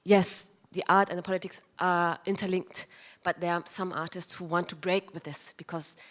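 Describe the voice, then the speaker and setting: A woman's voice: fairly low pitch, fairly even intonation, moderate pace, fairly bright tone. A woman moderating a panel, putting a long, thoughtful question to artists.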